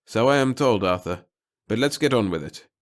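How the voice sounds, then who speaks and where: A man's voice: fairly low pitch, expressive, normal speed, close to an everyday voice. Tall male reporter, read by a man, bored and dry, plain curt delivery, sci-fi audiobook character. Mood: dry, patient.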